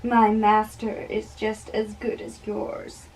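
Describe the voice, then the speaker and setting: A woman giving an amateur dramatic reading of a play, performing all the parts in a theatrical, halting way. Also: mid-range voice, monotone, a little mellow, a little hiss or hum. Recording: distant microphone.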